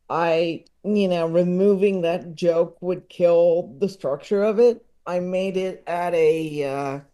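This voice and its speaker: Slow, mellow, self-deprecating male guest. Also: high voice. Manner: self-deprecating, halting, chuckles while talking. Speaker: podcast guest. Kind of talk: casual, enthusiastic chat.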